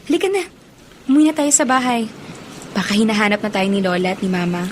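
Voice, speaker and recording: A woman's voice: medium pitch, expressive, fast, a little hiss or hum, natural voice. A woman playing an affectionate, dutiful young college student in a Filipino radio drama. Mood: teasing, tender.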